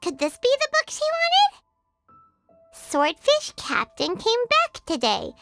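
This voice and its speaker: A woman voicing a tiny, chirpy, helpful childlike fairy companion in a video game, with a squeaky voice. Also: wildly swooping pitch, slow, creaky, loud music behind the voice, full character voice. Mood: astonished, sympathetic. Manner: squeaky, refers to self in third person.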